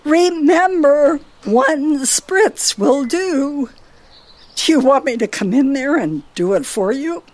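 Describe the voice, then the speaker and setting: An actress with an American accent playing a bossy, forgetful, scolding older wife in a radio play. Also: female voice, sits high, lively intonation, slow pace, darkish tone. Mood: exasperated, scolding. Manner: scolding, fussy.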